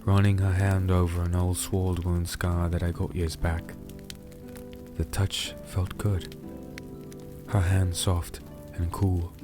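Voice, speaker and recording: An actor playing a weary, disenchanted crusader who narrates a dark fantasy audio drama in a slow, solemn, gentle way. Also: male voice, low voice, level tone. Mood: earnest, subdued. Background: music with rain sounds.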